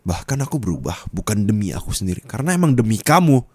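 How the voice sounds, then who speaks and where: Male actor plays a heartbroken, furious young man scolding his partner; low-pitched, volatile, shouting then muttering. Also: sing-song, quick pace, husky. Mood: bitter. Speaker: roleplay voice actor. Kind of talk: ASMR roleplay.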